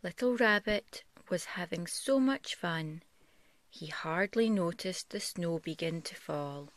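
Mother-type storyteller: woman, slightly low voice, gentle, slow picture-book reading. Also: lively intonation, dry recording. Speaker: parent reading a bedtime story. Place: bedroom, on a bed at home.

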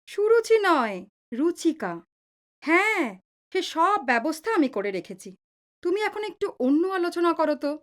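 High-voiced, animated, homely mother. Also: unhurried, exaggerated voice. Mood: gently scolding. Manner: soothing, mildly chiding, homely. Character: caring middle-aged mother, gently scolding family peacekeeper. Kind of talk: Bengali audio drama acting.

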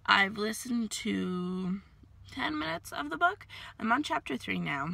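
A woman's voice: on the high side, lively intonation, measured pace, gravelly, sharp tone, no echo. A woman in her twenties, chatting casually and rambling with lots of fillers, filming herself on a phone held at arm's length inside her car. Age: 20s. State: hoarse, losing her voice. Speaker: vlogger. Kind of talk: casual vlog chat.

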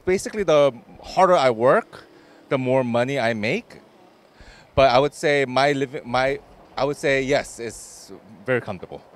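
A man's voice: mid-range voice, normal speed. A young man speaking openly about his past in a street interview. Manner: open, personal storytelling. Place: city street outdoors. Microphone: handheld mic.

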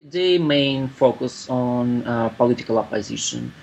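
A man in his thirties from Russia with a Russian accent, answering interview questions in halting English full of "uh" fillers, over a webcam video call in a small room. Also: medium pitch, slight background noise, poor audio. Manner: halting, many "uh" fillers, searching for English words. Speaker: human rights activist, interviewee.

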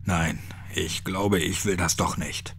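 Man voicing a low, subdued, appalled gentleman. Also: fairly even intonation, takes it slowly, vocal fry, slight background noise, heavily acted. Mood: resigned, afraid. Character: shaken, refined man, protesting and morally appalled. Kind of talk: horror audiobook character voice.

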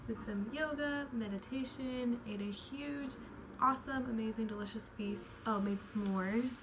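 A young American woman chatting to camera in a casual, upbeat, informal vlog style. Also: mid-range voice. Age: young adult. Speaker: vlogger. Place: parked car and bedrooms indoors. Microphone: phone camera at arm's length. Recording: noisy phone-camera vlog audio.

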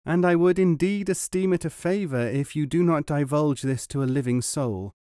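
Young highborn trickster student for a storybook, acted by a man, earnest, formal and sanctimonious, dry close recording. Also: moderate pace, dark tone, no room sound, natural voice. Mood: kindly, pious. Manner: earnest, pleading, formally polite. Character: sly young nobleman student, pious, earnest, falsely wronged. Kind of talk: storybook character reading.

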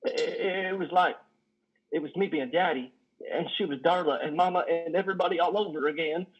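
A man acts out a lonely trucker confessing in a play reading. The voice is fairly high for a man and raspy, halting and folksy. The video call audio is slightly dull. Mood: anguished, guilty. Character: lonely, guilt-ridden, worn-out male trucker confessing. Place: living room, on a sofa. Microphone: headset on video call.

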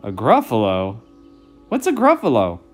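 A man's voice: fairly low pitch, huge pitch swings, unhurried, soft background music, full character voice. A man voicing a polite but hungry owl in a storybook read-aloud, sweetly inviting.

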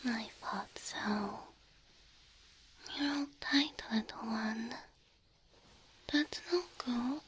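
A woman performing an ASMR roleplay as a gentle, doting cow-girl girlfriend, speaking softly and tenderly into a close microphone. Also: on the high side, slight background noise, low-quality recording. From Slavic Eastern Europe. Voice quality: soft, breathy. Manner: tender, slow, coaxing.

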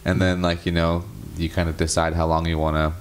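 A young man with a very deep, low voice hosts a relaxed interview, talking loosely with plenty of fillers and easy laughs. He speaks into a handheld mic in a living room, with a faint hum of room noise behind him. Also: brisk. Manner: laid-back, filler-heavy, laughs easily. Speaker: interviewer and host. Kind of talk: casual interview chat. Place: living room with instruments, indoors. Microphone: handheld microphone.

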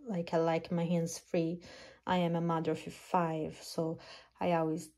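Soft-spoken Brazilian woman reviewing a purse. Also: lowish voice, completely flat delivery. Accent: Brazilian Portuguese. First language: Portuguese. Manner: halting, searching for words, laughs often. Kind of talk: casual product review. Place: small craft room indoors. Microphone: phone camera.

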